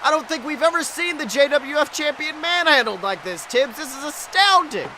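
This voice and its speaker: A male wrestling commentator calls the action in a strikingly high, sharp voice. His delivery is breathless and exclamatory, full of dramatic emphasis. There is faint noise and ambience behind him. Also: lively intonation, moderate pace. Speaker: wrestling commentator, podcast host. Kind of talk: excited play-by-play wrestling commentary.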